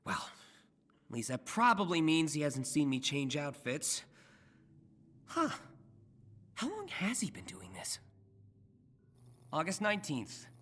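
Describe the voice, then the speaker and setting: An actor voicing an earnest, wisecracking young superhero for a video game, with an American accent and a chatty, self-deprecating manner. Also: male voice, high-pitched, fairly bright tone, heavily acted. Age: young adult.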